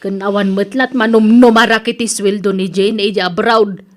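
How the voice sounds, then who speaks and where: A woman's voice: medium pitch, steady tone, moderate pace, lightly acted. A woman with an Ilocano accent acting an evasive, resentful overseas worker in an audio drama. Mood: harried. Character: evasive, resentful married woman working abroad. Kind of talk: Ilocano radio-style family drama acting.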